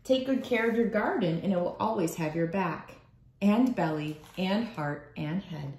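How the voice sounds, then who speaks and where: A woman's voice: lowish voice, expressive, moderate pace, a little mellow. Woman reading aloud in an echoey room. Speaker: children's book read-aloud host. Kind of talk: reading a picture book aloud. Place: small room at home, indoors.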